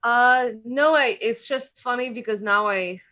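A woman's voice: on the high side, a little slow, sharp tone. A woman chatting casually, rambling with lots of filler words. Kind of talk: casual conversation.

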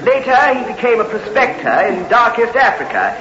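An actor playing a fussy, earnest village vicar in a radio drama, speaking formally and hesitantly. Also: male voice, extremely high pitch, very animated, moderate pace, crowd noise. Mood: proud, declamatory. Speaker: clergyman character. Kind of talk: 1960s radio drama acting. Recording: muffled, hissy old radio recording.

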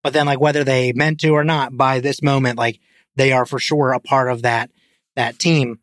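A man's voice: mid-range voice, flat delivery, normal speed, fairly bright tone, no echo, studio quality. A man in a casual film discussion on a podcast, talking informally and peppering his sentences with "like". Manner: informal, hedging with frequent "like". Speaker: podcast co-host.

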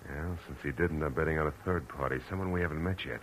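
A man's voice: a bass voice, fast talker, fairly bright tone. An American man playing a hard-boiled Los Angeles private detective in a radio drama, with clipped, commanding, world-weary speech. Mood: tense, commanding. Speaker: lead actor and first-person narrator. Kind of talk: 1940s radio detective acting and narration. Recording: noisy old-time radio recording.

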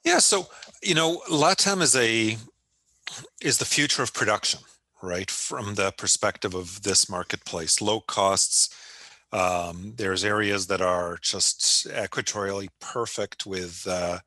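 A man's voice: fairly low pitch, unhurried, bright, forceful tone, no echo. A man hosting a podcast interview, asking long, rambling questions in a casual, conversational way. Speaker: podcast host.

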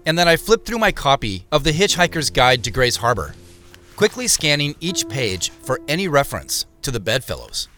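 A man narrating a scripted audio drama in the first person as a reflective investigator, with measured, descriptive storytelling. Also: mid-range voice, very animated, pushed and bright, soft background music. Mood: subdued, pensive. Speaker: narrator and lead character.

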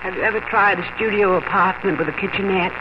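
A woman's voice: medium pitch, quick pace, noisy background, lightly acted. An actress playing a homesick, bravely cheerful middle-aged wife and mother in a hesitant, homely way, in a 1950 radio drama. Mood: earnest, polite. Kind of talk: 1950s radio drama acting. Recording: muffled old radio broadcast, music.

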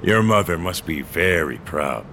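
An actor playing a weary, bitter old courier, a gruff and sardonic loner, in a noir science-fiction audio drama. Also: male voice, low-pitched, animated, a little slow, bright, forceful tone, slight background noise, heavily acted. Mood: sardonic, defiant. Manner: brooding, world-weary, sardonic. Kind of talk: first-person noir audio drama acting.

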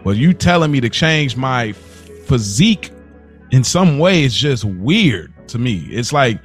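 A man's voice: mid-range voice, sing-song, measured pace, faint music behind. An American man co-hosting a podcast in casual chat, slangy and emphatic, often repeating himself for stress. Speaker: podcast co-host.

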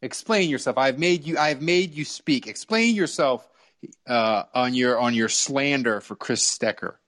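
A man's voice: medium pitch, measured pace, fairly bright tone. A man hosting a casual sports fan chat, often repeating phrases as he gets going. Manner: stammering, repeats phrases as he starts. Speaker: sports talk show host.

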